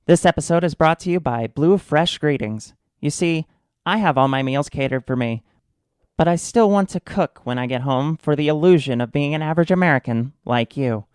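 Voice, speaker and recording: A man playing a solemn, deadpan storyteller who narrates a comic mock-epic radio drama in a measured way. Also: a little high, clean tone, no room sound, clean studio sound, close to an everyday voice. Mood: smug pitch.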